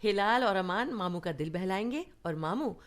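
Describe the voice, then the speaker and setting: An actress in a radio play as an affectionate, nostalgic married elder sister and mother, speaking gently and expressively. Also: mid-range voice, lively intonation, normal speed, close to an everyday voice. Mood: teasing, fond.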